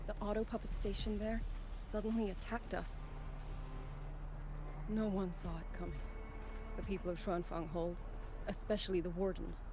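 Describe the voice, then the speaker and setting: An actress playing an earnest young woman soldier in a video game, speaking gravely and formally. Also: medium pitch, close to an everyday voice. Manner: formal, grave, measured delivery. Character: earnest young woman soldier, last survivor of her squad. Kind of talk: dramatic video game voice acting. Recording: game music behind the voice.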